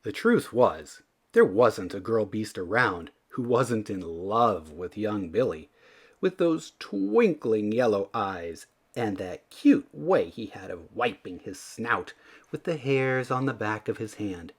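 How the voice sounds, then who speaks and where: A man reading a children's story aloud in an expressive storytelling style. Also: lowish voice, slow pace, husky. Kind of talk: expressive scripted storybook narration.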